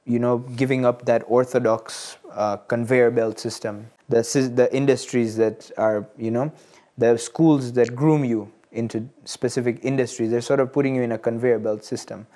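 A man in his early twenties from Tanzania, speaking as an interview guest in a measured, hesitant and earnest way. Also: mid-range voice, steady tone, dark tone. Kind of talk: studio interview, personal reflection. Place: studio. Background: plucked guitar music.

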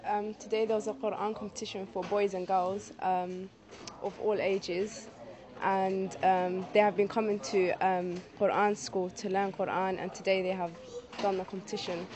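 Woman, soft-spoken, level even delivery, lots of ums, crowd noise. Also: medium pitch, moderate pace. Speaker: event spectator. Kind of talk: vox-pop interview answers. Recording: crowd chatter behind.

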